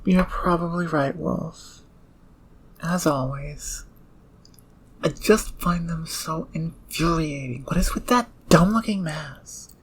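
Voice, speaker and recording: A prickly, brainy thief played by a woman with a low, breathy, rough-edged voice. She is blunt and proud, clipped in delivery, in an audio roleplay. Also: slow pace, exaggerated voice, low-quality recording. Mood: grudging, irritated. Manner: scolding, sarcastic, clipped. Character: irritable, brainy young female heist planner, blunt and proud. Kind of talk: ASMR audio roleplay acting.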